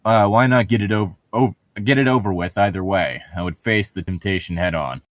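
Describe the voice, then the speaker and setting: A man with a fairly low voice speaks in a dry, close recording. He chats casually as a co-host and reads from a novel aloud, with a wry, opinionated and sometimes profane streak. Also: brisk, no echo. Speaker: co-host of a book read-along show. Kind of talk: casual banter mixed with reading a novel aloud.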